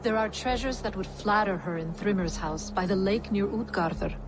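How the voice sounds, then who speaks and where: A woman's voice: medium pitch, moderate pace, noisy background, natural voice. A woman voicing an imperious, scheming frost giantess in a fantasy video game, with dry disdain. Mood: instructing.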